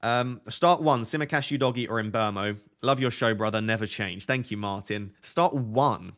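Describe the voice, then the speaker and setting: A young man hosting a fantasy football live stream, reading viewer questions aloud and answering them in a relaxed, soothing voice. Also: lowish voice, moderate pace. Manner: self-described relaxing, soothing voice. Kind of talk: casual live stream chat.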